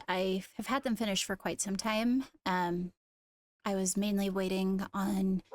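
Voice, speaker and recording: Woman, slow and measured, formal court-leader roleplay delivery, noisy home recording with clicks. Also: mid-range voice. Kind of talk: improvised in-character roleplay announcement.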